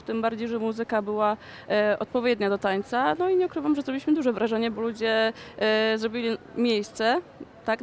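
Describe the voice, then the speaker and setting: A young woman, a dance choreographer, answering interview questions in a conversational way with frequent pauses, recorded in a shopping mall. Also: a little high, slight background noise.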